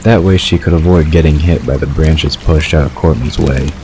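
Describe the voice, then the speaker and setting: A man narrating a scripted story in a measured storytelling delivery. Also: very deep voice, steady tone, darkish tone. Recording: clear background ambience noise.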